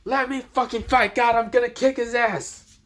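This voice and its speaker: A man voicing a hot-headed, foul-mouthed young male hero from a fan story, ranting and crying out in drawn-out shouts. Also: a little high. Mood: frantic, furious.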